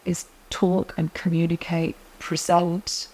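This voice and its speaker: A middle-aged woman speaks quietly and evenly as an interview guest. She gives advice in a calm, measured way. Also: lowish voice, low-quality recording. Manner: soft-spoken, measured, advice-giving. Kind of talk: interview conversation.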